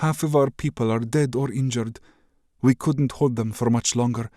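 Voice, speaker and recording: A man's voice: mid-range voice, steady tone, normal speed, warm tone, no room sound, voice pushed up, close to an everyday voice. Loyal woman warrior, raised and earnest, voiced by a male narrator, dry. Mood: grave. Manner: earnest and urgent. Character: steady hooded woman warrior, loyal and grave.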